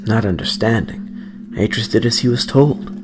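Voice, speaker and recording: A man's voice: lowish voice, normal speed. A man narrating an audiobook story in a dramatic, scripted reading style. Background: background music.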